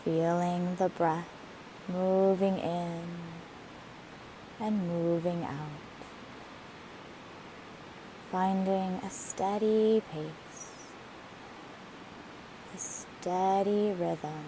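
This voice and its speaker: A woman leading a guided meditation in a gentle, soothing manner with deliberate phrasing. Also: lowish voice. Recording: steady hiss behind the voice. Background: hiss, rushing waterfall water.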